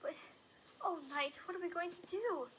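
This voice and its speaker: A woman's voice: extremely high pitch, moderate pace, pushed and bright, a little hiss or hum. A woman playing an anxious, caring pony wife and mother in an audio drama, with a worried, questioning manner. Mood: musing, uneasy.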